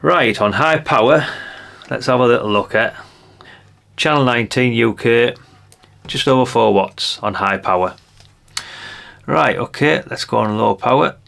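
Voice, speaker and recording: A man's voice: medium pitch, a little slow, creaky, pauses often, fairly bright tone. An older man giving a casual hands-on product demonstration, chatty and unscripted, thinking aloud as he goes. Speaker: presenter of a radio gadget review channel.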